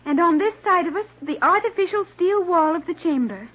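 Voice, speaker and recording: A woman's voice: very high-pitched, lively intonation, brisk. An actress playing an earnest, solemn girl with formal, precise diction in an old-time radio serial. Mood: composed, precise. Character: earnest, solemn girl raised apart from the world. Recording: muffled vintage radio recording.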